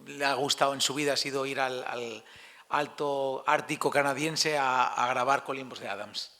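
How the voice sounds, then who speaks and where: A man interpreting a speaker's words for an audience, relaying them briskly. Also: mid-range voice, fairly even intonation, bright, forceful tone. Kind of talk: quick spoken interpretation.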